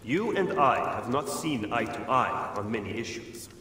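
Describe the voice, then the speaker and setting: A man's voice: medium pitch, very animated, normal speed, pushed and bright. A man playing a grave, eloquent assassin statesman in a video game drama. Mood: solemn. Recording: very echoey hall, some noise.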